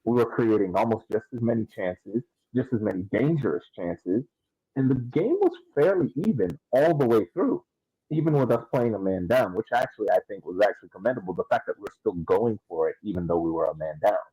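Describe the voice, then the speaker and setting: Mellow, husky man analysing sport. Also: fairly low pitch, lively intonation, brisk, no room sound, rough recording. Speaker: podcast co-host.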